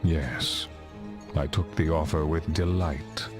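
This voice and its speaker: Deep-voiced, reflective older statesman. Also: steady tone, takes it slowly. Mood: genial, musing. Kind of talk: video game cutscene acting. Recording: music under the voice.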